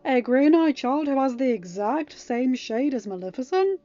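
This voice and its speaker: Woman acting pushy, high-pitched tabloid reporter. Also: lively intonation, moderate pace, dull audio. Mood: probing. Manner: insistent, loud, probing. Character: pushy, intrusive tabloid reporters hounding a celebrity. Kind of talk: dramatized audiobook narration.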